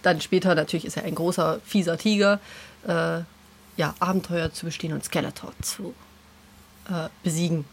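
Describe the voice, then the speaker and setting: A woman co-hosting a podcast, chatting casually and nostalgically in a slightly husky voice. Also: lowish voice, steady tone, normal speed. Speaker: podcast co-host.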